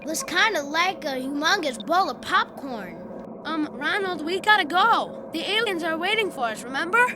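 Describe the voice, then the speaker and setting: An actor playing an excitable cartoon kid sidekick in a children's audio play. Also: very high voice, moderate pace, background noise. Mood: urgent.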